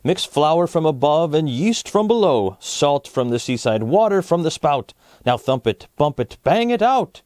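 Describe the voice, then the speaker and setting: A man voicing an excited, bossy village baker from a storybook, speaking in emphatic exclamations. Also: fairly high pitch, a little slow, no room sound, studio quality, voice pushed up. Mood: commanding. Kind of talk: storybook character voice.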